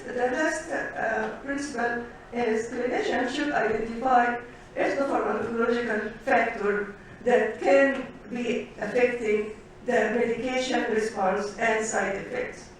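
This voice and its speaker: A woman physician giving a conference presentation, halting, with many pauses and fillers as she works through lists. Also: fairly high pitch. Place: conference hall. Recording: echoey hall, distant mic, faint noise.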